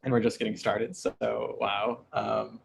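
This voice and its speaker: A man's voice: fairly low pitch, flat delivery, unhurried, creaky, breathy edge. A young man with an American accent, from Michigan, casually hosting and introducing poets at a reading. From Michigan, United States. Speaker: host and curator of a poetry reading.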